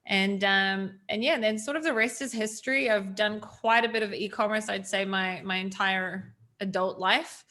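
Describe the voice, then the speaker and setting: A woman's voice: mid-range voice, steady tone, moderate pace, few pauses, pushed and bright. A woman, chatting casually as an interview guest with frequent ums. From New Zealand.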